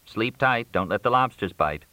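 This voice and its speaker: A man's voice: lowish voice, animated, moderate pace, pushed and bright, dry recording, lo-fi sound, voice pushed up. Man reading as a tired, dryly patient man. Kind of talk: audiobook character dialogue.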